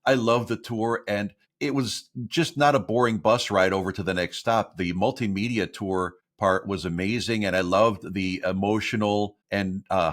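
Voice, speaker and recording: A man's voice: on the low side, a bit bright. A middle-aged man, a voiceover artist, talking earnestly about his work as an interview guest. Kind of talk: casual interview talk.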